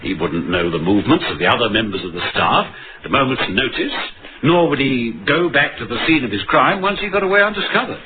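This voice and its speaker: Male actor as dogged inspector, vintage radio drama, animated intonation, thin hissy recording. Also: medium pitch, a little fast, close to an everyday voice. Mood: earnest, pressing. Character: dogged middle-aged male police inspector on a murder case. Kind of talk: 1950s radio drama acting with narration. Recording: muffled, noisy vintage radio broadcast.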